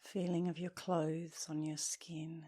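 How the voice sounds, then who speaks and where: A woman leading a guided meditation as an instructor, speaking softly and soothingly, with gentle, breathy phrase endings. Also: low voice, little pitch movement. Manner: soothing, gentle, unhurried with long pauses.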